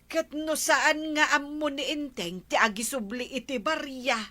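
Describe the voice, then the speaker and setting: A woman's voice: high-pitched, a little slow, pushed and bright. A woman playing a nosy, exasperated village neighbour in an Ilocano radio comedy drama, questioning and chiding, with a Filipino Ilocano accent. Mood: concerned.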